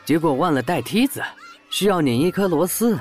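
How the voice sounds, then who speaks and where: A man's voice: a little high, expressive, faint music behind, close to an everyday voice. Man acting coaxing stranger in workman's role. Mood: coaxing, friendly. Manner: coaxing, polite, deliberate. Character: friendly-seeming adult male stranger, workman, coaxing children. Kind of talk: Chinese audio drama acting.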